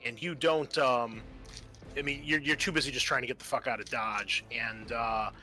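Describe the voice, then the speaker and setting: A man narrating a tabletop horror game as game master, improvising in a halting, casual way with some swearing. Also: mid-range voice, steady tone, bright, forceful tone.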